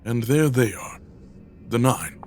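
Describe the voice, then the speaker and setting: A man's voice: mid-range voice, takes it slowly, a little mellow, a little hiss or hum, lightly acted. A man playing an irascible, proud, restless inventor in an audio drama, speaking in curt, formal phrases.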